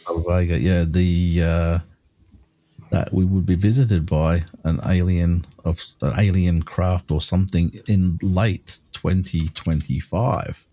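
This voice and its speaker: A man with a low, mellow voice hosts a podcast. He chats casually and muses aloud in a friendly, easygoing tone. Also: fairly even intonation, slow pace. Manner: chatty, musing, friendly. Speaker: podcast host.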